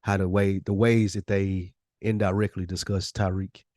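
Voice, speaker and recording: A man's voice: low-pitched, level tone, moderate pace, darkish tone, no echo, studio quality. An American man co-hosting a podcast in casual, slangy chat, with a blunt, emphatic way of talking. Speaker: podcast co-host.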